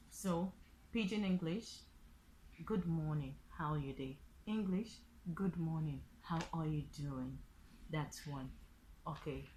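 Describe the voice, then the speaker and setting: A woman with a low voice and a Nigerian accent teaches in an informal tutorial style. She explains things step by step, often repeating a phrase as an example. There is faint background noise on the recording. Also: a little mellow, poor audio. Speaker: online language teacher and vlogger.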